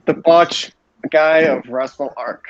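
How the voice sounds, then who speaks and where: A man's voice: a little high, takes it slowly, a little hiss or hum. A man hosting a podcast in a casual recap style, recorded on a dull lo-fi microphone. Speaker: podcast host. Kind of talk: casual wrestling show recap.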